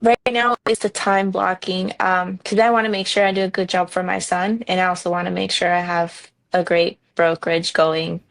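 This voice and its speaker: A woman in her thirties from New Jersey, a real estate broker answering interview questions in a frank, relaxed way with many ums. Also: on the low side, normal speed, gravelly, hardly any pauses, dry recording.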